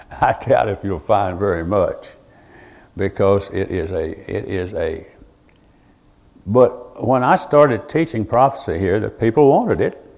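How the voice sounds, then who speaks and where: An older man gives an informal sermon, rambling and halting in a folksy, storytelling way. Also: on the low side, gravelly, darkish tone. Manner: rambling, folksy, halting, full of asides and self-told anecdotes. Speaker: preacher teaching Bible prophecy.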